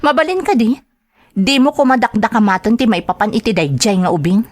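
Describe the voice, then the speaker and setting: A woman's voice: fairly high pitch, expressive, brisk, no room sound, studio quality. A woman playing a defiant, hot-tempered young single mother in an Ilocano-accented radio drama, with an emphatic delivery. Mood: indignant, accusing. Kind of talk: Filipino radio drama acting.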